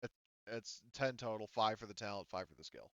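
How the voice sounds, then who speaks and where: Male game master, low clean voice, slightly dull mic, casual and sweary table talk. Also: a little fast, dry recording.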